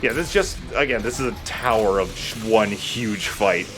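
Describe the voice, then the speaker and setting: Low-voiced guy doing casual game commentary. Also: normal speed, background noise. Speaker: let's play host playing a shooter game.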